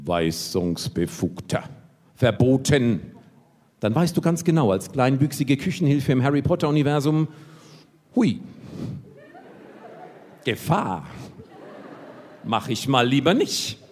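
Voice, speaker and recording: A man's voice: medium pitch, very animated, slow, pauses often, darkish tone. A man performing a comic poetry slam text on stage with an ironic, punchline-driven delivery. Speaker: poetry slammer. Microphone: stage microphone. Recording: long hall reverb.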